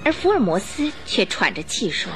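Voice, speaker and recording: A woman narrating a radio drama in an expressive, measured, scripted storytelling style. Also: medium pitch. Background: music under the voice.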